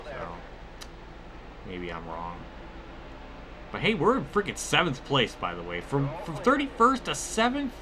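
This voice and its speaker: A man's voice: mid-range voice, huge pitch swings, measured pace, long pauses, a crowd in the background. A man giving casual commentary over a racing video game, rambling and often cutting himself off with exclamations. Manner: rambling, self-interrupting, exclamatory. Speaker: gaming video host.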